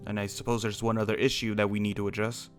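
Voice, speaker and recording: A man's voice: on the low side, little pitch movement, quick pace, faint music behind, lightly acted. A man playing an earnest, principled dragon slayer with a criminal past, in an improvised fantasy game roleplay. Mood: thoughtful, earnest. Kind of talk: improvised Minecraft roleplay acting.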